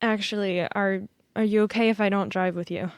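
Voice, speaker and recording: A woman playing a hurt grown daughter of a politician in an audio play, with an emotional, halting, naturalistic delivery. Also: mid-range voice, steady tone, clean tone, no room sound, clean studio sound, close to an everyday voice. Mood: quiet, tender.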